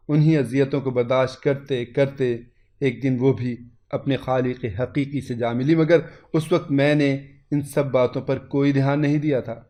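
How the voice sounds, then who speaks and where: A man's voice: medium pitch, mellow. A man narrating a scripted moral story in the first person, with a dramatic, sorrowful, literary delivery.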